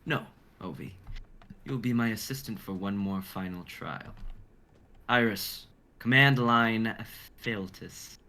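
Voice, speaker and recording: A man playing a coldly menacing older scientist in a live drama reading, lecturing in a deliberate, theatrical way. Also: fairly low pitch, bright, forceful tone, full character voice. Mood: grandiose, menacing.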